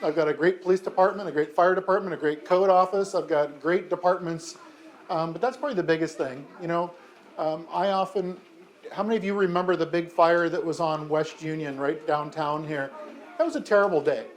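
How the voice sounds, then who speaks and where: A man's voice: on the high side, brisk, rough recording. An American man in his fifties, a mayor, answering a classroom of children's questions in a friendly, chatty way with frequent ums and self-corrections. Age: 50s. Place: elementary school classroom.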